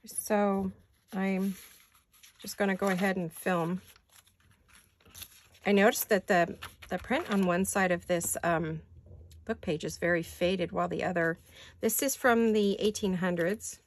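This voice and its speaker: An older woman with a raspy voice chatting casually in her home craft studio, rambling and thinking aloud as she works. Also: medium pitch, steady tone, unhurried, long pauses. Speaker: craft vlogger. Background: neighborhood garbage-day banging.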